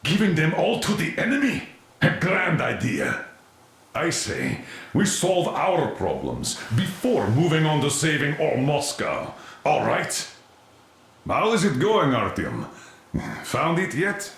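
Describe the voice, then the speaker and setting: Gruff commander in echoey room. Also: male voice, on the high side, huge pitch swings, takes it slowly, a bit bright, full character voice. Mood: sardonic, insistent. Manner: gruff, authoritative, sardonic. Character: stern, weary older male military commander. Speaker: military commander. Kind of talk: dramatic video game acting.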